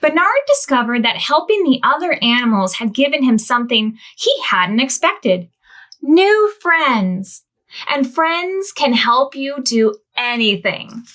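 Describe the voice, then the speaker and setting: A woman reads a picture book to preschoolers at a gentle, unhurried pace. Her voice sits high and swoops up and down as she coaxes the children along. It is the warm sing-song of library storytime. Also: sing-song.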